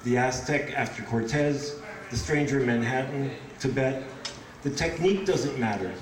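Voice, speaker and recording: A man's voice: mid-range voice, normal speed. A man reading poems aloud at a live event, with informal asides between them. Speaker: poetry reader. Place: indoor bookshop event in front of audience. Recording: echoey, noisy room, distant microphone.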